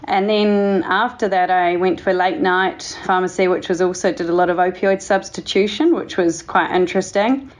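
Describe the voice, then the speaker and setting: A woman's voice: fairly low pitch, moderate pace, few pauses. A woman with a New Zealand accent, a pharmacist telling her career story in an interview. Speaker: guest pharmacist and pharmacy owner.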